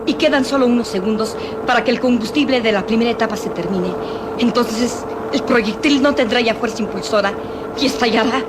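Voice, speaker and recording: Woman playing an anguished, high-voiced radio heroine. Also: brisk, lightly acted. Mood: fearful. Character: anguished woman, tense and devoted. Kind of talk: old-fashioned melodramatic radio serial acting. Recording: noisy old radio drama recording.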